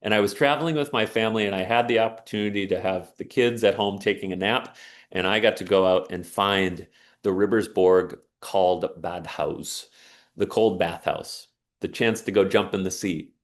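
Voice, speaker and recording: A man's voice: deep voice, moderate pace. A man giving an earnest, emphatic inspirational talk and telling a personal story. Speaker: speaker on sauna and resilience.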